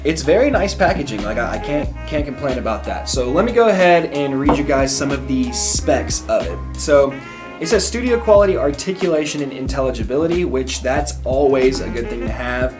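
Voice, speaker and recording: A man's voice: mid-range voice, expressive, brisk. A young man, a musician, casually reviewing a microphone in an upbeat, chatty way and explaining the tech terms plainly. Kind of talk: casual product review vlog. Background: background music.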